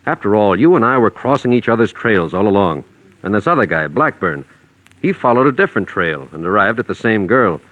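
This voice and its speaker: An American actor playing a shrewd, dogged private investigator, calm and calculating, in 1940s radio mystery style. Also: male voice, on the low side, fairly quick, no room sound, highs cut off.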